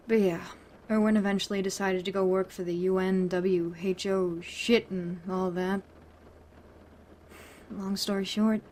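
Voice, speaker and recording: A woman's voice: mid-range voice, darkish tone, slight background noise, close to an everyday voice. A woman playing a wry, teasing, tough-talking woman in a fan audio drama, casual and blunt.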